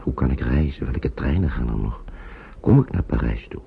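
An actor playing a worried, kindly uncle who hesitates and repeats himself in an old radio play. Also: male voice, rumbling low, animated, normal speed, creaky, heavily acted. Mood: worried, brooding. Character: worried kindly man, an uncle minding children. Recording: dull noisy old radio recording.

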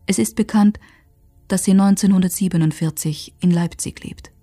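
A woman giving sober, factual, measured scripted narration for a historical radio documentary. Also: mid-range voice, steady tone, warm tone, faint music behind.

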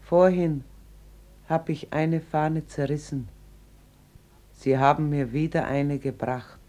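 A woman's voice: deep voice, steady tone, slow pace, noisy background. An actress playing a grieving, embittered fisherwoman mother in a radio play, speaking in a grave, stark manner. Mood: subdued grief. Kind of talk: German radio play acting.

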